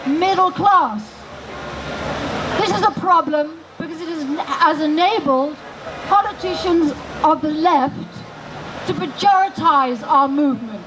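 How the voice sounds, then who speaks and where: A woman giving a political campaign speech outdoors, in a deliberate, emphatic oratorical manner with pauses. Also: high-pitched. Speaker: campaign speaker. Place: outdoors in a city square. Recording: outdoor rally PA with loud city traffic noise. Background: loud street ambience and vehicles.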